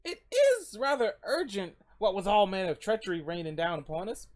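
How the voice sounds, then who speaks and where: A performer acts out a courteous, flowery traveller for a comic dub. The voice is pitched high and swings theatrically, genteel and ornate. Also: big pitch swings, a little fast, natural voice. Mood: urgent, courteous. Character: polite, flowery, theatrical traveller pleading for help.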